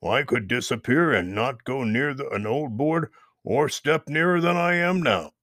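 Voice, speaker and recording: A man voicing a grumpy, proud old male toad who talks in gruff, curt grunts in a storybook reading. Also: mid-range voice, expressive, a little slow, fairly bright tone, no room sound, clean studio sound, voice pushed up.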